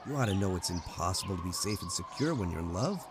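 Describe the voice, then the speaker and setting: A man's voice: low voice, lively intonation, fast talker, background noise. An actor playing a breezy, blunt, wisecracking man in an old-time radio drama. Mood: blunt, challenging.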